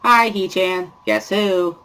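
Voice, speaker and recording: A man acts out a bubbly teenage schoolgirl from a visual novel. The voice sits high and pushes loud, exclamatory and teasing, with music playing behind it. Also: big pitch swings, slow pace, clear, resonant tone, heavily acted, low-quality recording. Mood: playful. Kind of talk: dramatic reading of a visual novel.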